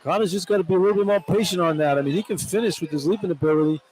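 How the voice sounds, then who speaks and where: A man's voice: sits high, racing. A man giving informal, opinionated color commentary and analysis on a live radio basketball broadcast. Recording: noisy live arena broadcast. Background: arena crowd ambience.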